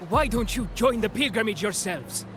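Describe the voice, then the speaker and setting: An actor playing a defiant, scornful doubter in a video game, with a mocking, challenging manner. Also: male voice, high-pitched, lively intonation, moderate pace, fairly bright tone, a little hiss or hum. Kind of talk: video game cutscene acting.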